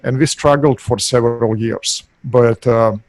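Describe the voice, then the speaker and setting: A middle-aged man speaks English with a Russian accent, stopping often with small fillers as he tells his story. His voice sits at a middle pitch, and the recording is dry and close with no room sound. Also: steady tone, darkish tone, dry recording. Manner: halting, many uh fillers. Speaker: guest. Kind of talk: podcast interview.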